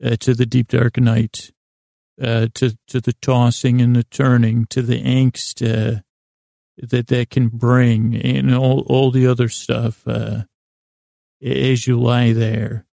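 A man hosting a bedtime podcast in a rambling, tangential monologue, with a creaky, gravelly voice and a halting, self-deprecating manner that often stammers and restarts words. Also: mid-range voice, flat delivery, mellow, no echo, clean studio sound. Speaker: host of a bedtime sleep podcast.

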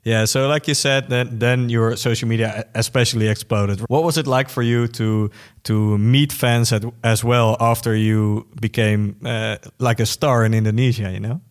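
A man's voice: mid-range voice, fairly even intonation, normal speed. Man asking interview questions.

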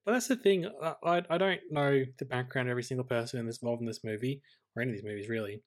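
Man mulling over films, middle-pitched. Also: rapid-fire. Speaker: podcast co-host. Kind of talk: casual film review chat.

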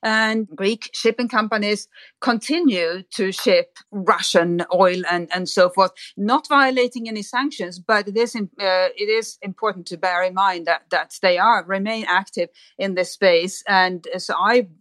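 A woman speaking as a guest analyst, thinking aloud in deliberate, hesitant phrases and often repeating words. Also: medium pitch, fairly bright tone, dry recording. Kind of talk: spoken analysis in a discussion.

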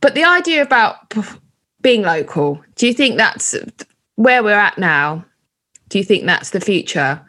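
A woman's voice: mid-range voice, very animated, a little slow, sharp tone. A woman, a comedy performer, chatting and asking questions in a conversational interview with self-mocking asides.

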